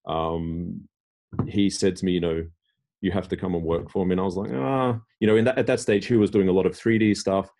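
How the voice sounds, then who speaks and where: A very low-pitched middle-aged man, a guest from Australia talking through his career in a podcast interview. His tone is dark and mellow, and he rambles with lots of fillers and self-restarts. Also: steady tone, a little fast. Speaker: tech executive guest. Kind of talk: casual interview talk.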